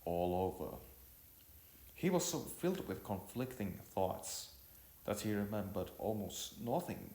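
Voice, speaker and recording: A man reading a story aloud as an amateur narrator, haltingly, often repeating and correcting his words. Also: on the low side, very animated, dark tone, echoey room.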